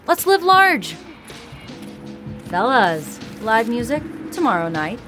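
An actress playing a driven young band member in an audio drama, casual and sarcastic. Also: female voice, fairly high pitch, sing-song, slow pace, music in the background. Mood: upbeat.

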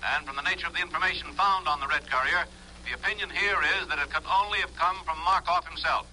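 An actor playing a serious, businesslike intelligence chief heard through a telephone filter in an old radio drama. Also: male voice, a little high, fast, sharp tone, rough recording. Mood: grave. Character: grave male intelligence chief reporting by telephone. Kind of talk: 1940s-50s radio drama acting. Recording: thin phone sound, old radio hum.

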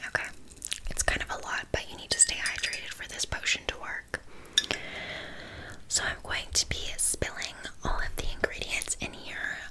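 A scatterbrained witch, played by a woman, speaks entirely in a soft, breathy whisper for an ASMR roleplay. She rambles casually with deadpan asides and filler words. Her manner is blunt and a little judgmental, like an amateur who makes do as she goes. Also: normal speed, natural voice, poor audio. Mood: chatty, busy. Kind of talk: whispered ASMR roleplay, improvised one-sided chat.

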